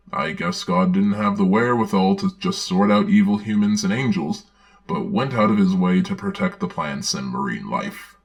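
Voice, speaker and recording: A man playing a droll villainous dark lord who reads a storybook aloud with deadpan, sardonic commentary. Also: low voice. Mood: dry sarcasm. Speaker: host and narrator. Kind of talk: dramatic reading with sardonic commentary.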